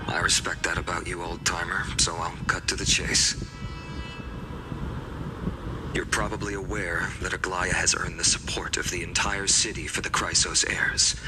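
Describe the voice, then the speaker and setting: An actor voicing a polite, earnest young male hero who speaks in a measured way, heard in game audio with music behind. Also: low voice, noisy background, close to an everyday voice. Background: background music.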